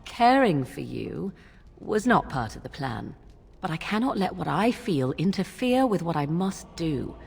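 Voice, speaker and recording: An actress playing a proud, guarded witch in a fantasy video game, speaking in formal, archaic phrasing with cool, deliberate persuasion. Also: on the low side, sing-song, gravelly, a little mellow, in a large hall, faint music behind, heavily acted. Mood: solemn, persuasive.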